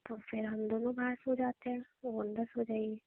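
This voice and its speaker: A woman's voice: on the high side, level tone, moderate pace. A woman playing a hot-tempered, stubborn young wife in an audio drama, who argues with her husband in snappy retorts and stammered repeats. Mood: hesitant, worried. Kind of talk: audio roleplay drama, conversational. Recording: narrow phone-like sound.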